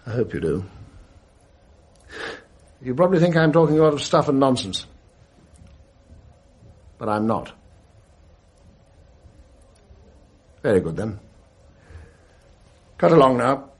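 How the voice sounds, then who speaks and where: Husky, solemn British schoolmaster. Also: male voice, mid-range voice, big pitch swings, darkish tone, full character voice. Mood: earnest, brisk. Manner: solemn, didactic, measured pauses. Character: stern, earnest older male schoolmaster moralizing to a boy. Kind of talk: British radio drama acting.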